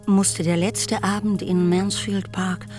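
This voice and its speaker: Woman reading literary narration, measured, radio drama style, clear music underneath. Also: mid-range voice, flat delivery.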